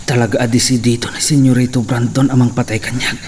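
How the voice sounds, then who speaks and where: A man plays a humble ranch hand in a radio-style drama. He speaks at a medium pitch, pleading respectfully with his superior. Cricket-like noise sits behind the voice. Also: little pitch movement, fast talker, a little mellow, natural voice. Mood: pleading, pained. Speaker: drama actor.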